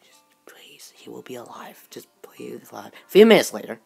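A woman reacting to a video in excitable commentary, exclaiming and sometimes halting or stammering over words. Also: mid-range voice, creaky, slightly rough, soft background music. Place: bedroom, indoors.

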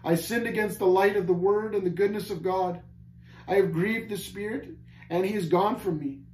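A man's voice: high-pitched, normal speed, darkish tone, pitched above the performer's own voice, lightly acted. A man voicing a despairing, guilt-tormented prisoner in an audiobook story, moaning in anguish. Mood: bitter despair. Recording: roomy echo, faint background noise.

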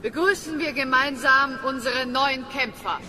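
A woman's voice: high voice, measured pace, over music. An actress playing a stern female lieutenant giving a formal, authoritative military speech in a radio drama. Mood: stern, official. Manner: authoritative, declamatory. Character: stern female lieutenant addressing new recruits.

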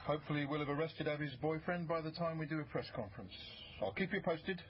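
A man's voice: a little high, fast talker, natural voice. A man playing a busy, evasive senior British detective in a naturalistic radio drama. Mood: brisk. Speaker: actor in radio crime drama. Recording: slightly muffled broadcast, faint noise.

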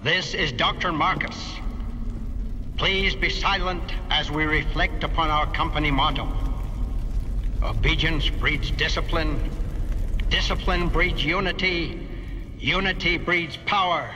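A sinister older male scientist from a horror game, voiced by a man, speaks slowly and imperiously. His solemn, slightly high voice rings with long reverb over clear noise. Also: natural voice. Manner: solemn, deliberate, declaiming. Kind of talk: video game cutscene acting.

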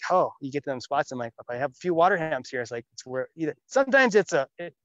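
A man's voice: fairly high pitch, very animated, fast, fairly bright tone, no echo, lo-fi sound. A man farmer being interviewed outdoors in a farm field, talking plainly with many restarts. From Minnesota. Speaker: farmer guest.

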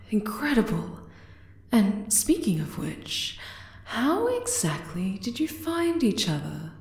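A woman's voice: mid-range voice, sing-song, takes it slowly, darkish tone. Woman playing a spider monster queen in asmr rp, tender and regal, takes her time, roomy echo. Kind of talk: ASMR roleplay acting. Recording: roomy, echoing cave-like reverb.

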